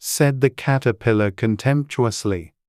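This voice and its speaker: A man's voice: mid-range voice, unhurried, a little mellow, no room sound. A man reading scripted audiobook narration as the storyteller, close to the microphone.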